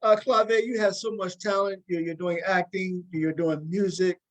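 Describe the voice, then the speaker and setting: A man's voice: high-pitched, fairly even intonation, normal speed. A man hosting a casual interview as a talk show host, effusive and often repeating his words.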